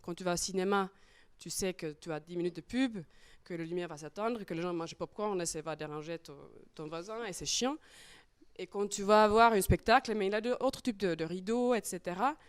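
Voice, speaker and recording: A woman with a Finnish accent, a circus artist speaking on a panel, often pausing with fillers as she searches for words in French. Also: on the low side, clean tone, dry recording. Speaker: circus artist and show creator. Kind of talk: panel talk, casual explanation.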